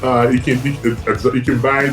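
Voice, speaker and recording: A man's voice: medium pitch, flat delivery, moderate pace, roomy sound, over music. A man from Nigeria, a native Yoruba speaker, talking as a guest wrestler in a casual interview, boastful and full of "you know".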